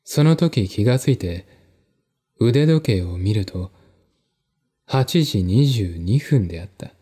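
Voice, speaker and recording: A man narrating a mystery novel as a dramatic audiobook, measured and deliberate, with pauses between phrases. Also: fairly low pitch, expressive, dark tone, husky. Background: faint music.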